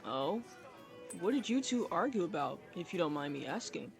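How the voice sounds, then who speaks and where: Courteous, guarded gentleman in a tavern voice-acted. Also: fairly high pitch, sing-song, moderate pace, gravelly, soft background music. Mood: curious, sympathetic. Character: male company boss hiding in a tavern, courteous, guarded. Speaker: voice actor in an audio roleplay. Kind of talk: fantasy audio drama acting.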